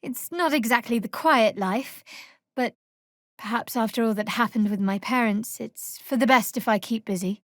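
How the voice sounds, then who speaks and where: A woman playing a guarded, wry young female cleric of a dark goddess in a video game, speaking in a dry, sardonic, measured way. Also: on the high side, dry recording, lightly acted. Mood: subdued, confiding. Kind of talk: video game character dialogue.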